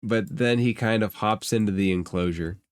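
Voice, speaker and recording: A man narrating a tabletop game as game master in a halting, informal, conversational way. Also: lowish voice, clear, resonant tone, no room sound, studio quality.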